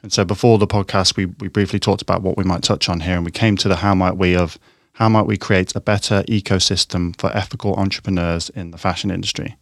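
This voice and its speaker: Low-voiced man, thoughtful podcast host. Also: steady tone, no room sound, clean studio sound. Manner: thoughtful, measured, rambling questions. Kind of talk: podcast interview.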